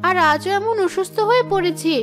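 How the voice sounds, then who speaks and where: A woman's voice: very high voice, a little fast, faint music behind. A woman voicing a humble, anxious young village cook in a fairy-tale cartoon, earnest and polite. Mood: worried.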